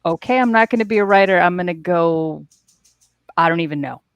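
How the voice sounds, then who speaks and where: A middle-aged woman gives casual interview answers as a guest author. Her voice is fairly low with noticeable fry and a dry, close sound. She is wry and self-deprecating, often repeating a word for emphasis. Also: brisk, no room sound, dull audio. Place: dim indoor room at home, video call.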